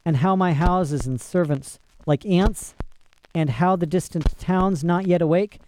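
Old storybook emperor, voiced by a male reader: grave, measured, regretful authority, warm dark timbre. Light background noise. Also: sits high, pitched above the performer's own voice, rough recording. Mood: sad, resolute. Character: solemn old emperor, regretful yet coldly commanding man.

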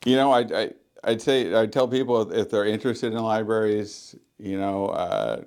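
A man working as a librarian, talking loudly and chattily as a radio interview guest, with frequent ums and restarts. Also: fairly low pitch, animated, moderate pace, clean tone. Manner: loud, chatty, many fillers.

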